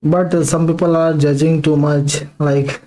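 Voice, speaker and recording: A young man chatting with strangers in halting English, hesitant and full of fillers, on a headset in a small dark room. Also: a little high, flat delivery, rough recording. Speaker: streamer talking with strangers. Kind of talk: casual video chat in halting English.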